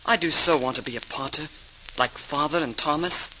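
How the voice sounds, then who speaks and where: A man's voice: a little high, moderate pace, sharp tone, low-quality recording. A man acting an earnest, eager nine-year-old boy with a youthful voice in an old radio drama. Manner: eager, earnest, wide-eyed. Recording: hissy 1940s radio recording.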